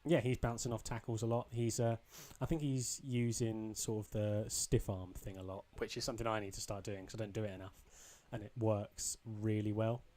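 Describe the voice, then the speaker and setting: A young man with a slightly croaky voice, casually commentating his own football video game match, rambling with ums and restarts. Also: lowish voice, steady tone, normal speed, few pauses, warm tone. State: a bit croaky. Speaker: gaming channel host.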